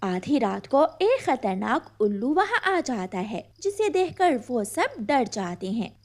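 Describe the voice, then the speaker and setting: High-voiced woman telling a cartoon story. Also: big pitch swings, measured pace. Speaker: narrator. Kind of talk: storybook narration for kids.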